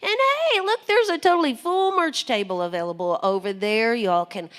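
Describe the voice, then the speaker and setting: A woman's voice: on the high side, lively intonation, moderate pace. A woman hosting a live radio show, joking and folksy with plenty of fillers.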